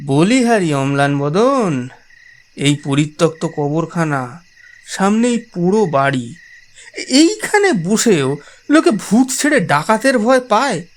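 Man as a slow, theatrical ghost-story narrator. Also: high voice, big pitch swings, heavily acted. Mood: exasperated, insistent. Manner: theatrical, teasing, dramatic pauses. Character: wry ghost-believing friend narrating a spooky tale. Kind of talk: horror audio drama narration.

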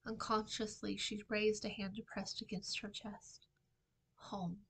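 Bright-voiced woman reading a story aloud. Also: medium pitch, flat delivery, a little slow, slightly rough. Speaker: author reading from own novel. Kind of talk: expressive storytime book reading. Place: small indoor room with shelving.

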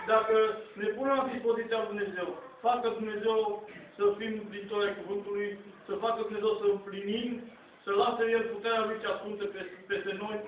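A man's voice: sits high, far from the mic. A middle-aged man giving a fervent, unscripted sermon in an earnest, exhorting, repetitive way, recorded from the congregation of a small church hall. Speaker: lay preacher. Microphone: distant handheld camera in the audience. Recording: tinny, noisy, echoey phone recording from afar.

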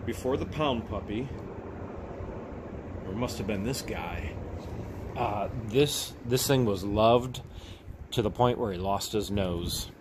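A man's voice: lowish voice, takes it slowly, pauses often, noisy background. A man hosting a casual unboxing vlog, exclaiming and repeating himself as he talks directly to viewers. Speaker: vlog host.

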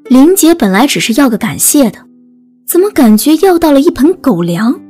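A woman's voice: high voice, sing-song, moderate pace, mellow, soft background music. A woman narrating a scripted audiobook story.

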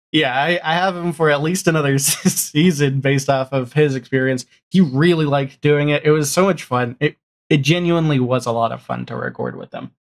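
Informal middle-aged man chatting, jokey and halting. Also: a little high. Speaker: podcast guest, audio drama showrunner. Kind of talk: casual interview chat about production. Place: small home room, on a video call.

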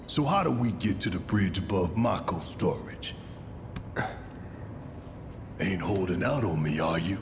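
Gruff, gravelly squad leader. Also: male voice, low voice, in a large hall, background noise, exaggerated voice. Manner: gruff, taunting, forceful. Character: gruff, hot-tempered, distrustful male resistance squad leader. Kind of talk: video game voice acting.

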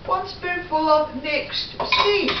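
A woman's voice: high voice, slow. An older woman chatting casually as she walks through each step of making breakfast at home, humming along as she goes. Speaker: home vlogger. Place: home kitchen, indoors. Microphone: far camera on a tripod. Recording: echoey kitchen from far off, dull and noisy.

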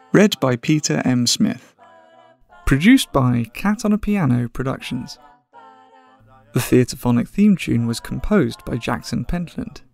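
Creaky-voiced man announcing over music. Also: mid-range voice, a little mellow. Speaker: podcast announcer reading credits. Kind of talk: scripted credits announcement.

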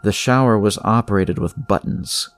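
A man narrating scripted horror fiction in a measured way, with dramatic pauses. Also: on the low side, no room sound.

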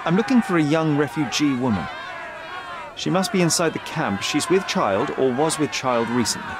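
Young game detective, highish lively voice, confident n pointed, noisy ambience behind him. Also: male voice, moderate pace, a crowd in the background. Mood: persuasive, pointed. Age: young adult. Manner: articulate, polite but pointed. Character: confident young detective, persuasive, probing, self-assured. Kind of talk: video game cutscene acting.

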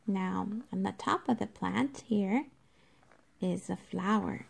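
Lady teacher doing a kids read-aloud, really slow and careful, bit of creak in her voice. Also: medium pitch. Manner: deliberate, instructive, addressing children directly. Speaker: teacher reading aloud to young children. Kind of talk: children's nonfiction read-aloud with explanations.

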